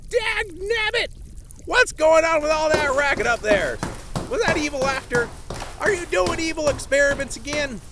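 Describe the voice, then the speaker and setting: A man's voice: very high voice, lively intonation, normal speed, background noise. A man playing a cantankerous, folksy old grandpa in an audio drama, blustering with old-timey exclamations. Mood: outraged.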